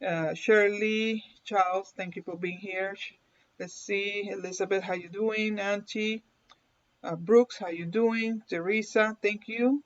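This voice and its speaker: A woman's voice: medium pitch, steady tone, measured pace, a little hiss or hum. A woman with a Puerto Rican accent, hosting a live card reading in a chatty way and often checking in with her viewers. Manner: chatty, keeps checking in with "okay?", laughs often. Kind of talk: casual livestream chat and reading. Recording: dull lo-fi stream audio.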